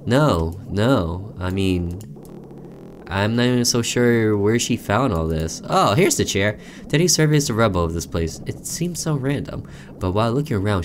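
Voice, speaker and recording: Low-voiced, animated male let's player. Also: background noise. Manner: theatrical reading mixed with offhand joking asides. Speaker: gamer reading a visual novel aloud. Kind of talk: let's play commentary with dramatic read-aloud narration.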